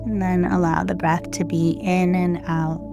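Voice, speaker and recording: Very slow, low-voiced woman guiding meditation. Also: little pitch movement, gravelly. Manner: gentle, unhurried, long pauses between short phrases. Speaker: meditation guide. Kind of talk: guided meditation, slow and soothing. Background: loud meditation music with singing bowls.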